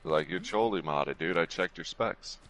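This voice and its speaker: Deep voiced gamer dude, slow casual slangy chat, swears, bit noisy behind him. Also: male voice, a bit bright. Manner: slangy, profane, joking. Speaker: video game live streamer. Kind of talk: casual gaming chat with friends. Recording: clear background game noise.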